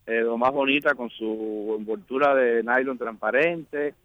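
A man's voice: mid-range voice, fairly even intonation, unhurried, no room sound, phone-quality sound. A man talking as a magazine editor guest in a casual radio interview, chatty and often restarting his sentences.

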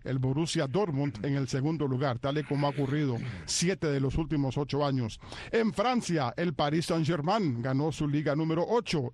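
A male sports co-host speaks in a fairly high voice, recorded close and dry. He delivers recaps and panel banter, rolling out foreign team names with showy pronunciation. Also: dry recording. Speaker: sports show co-host presenting soccer recap.

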